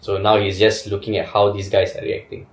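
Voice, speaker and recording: A man's voice: on the low side, little pitch movement, brisk, echoey room. A young Singaporean man hosting a casual chat in a small studio, steering the talk and asking others for their views. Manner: leads the talk, asks for reactions. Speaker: podcast host. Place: small indoor studio with couches.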